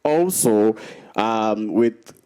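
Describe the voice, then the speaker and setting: A man giving a live software demonstration at a conference, persuasive and salesy, often checking in with the audience and using fillers. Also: lowish voice, lively intonation, very slow, smooth. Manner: persuasive, repeats "right?" and "um", salesy. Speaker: software presenter. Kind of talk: live product demo.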